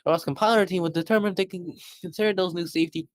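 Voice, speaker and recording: A man's voice: sits high, fairly even intonation, fast talker, no echo, clean studio sound. A man reading a technical policy document aloud, often stumbling over words and correcting himself. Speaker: reader.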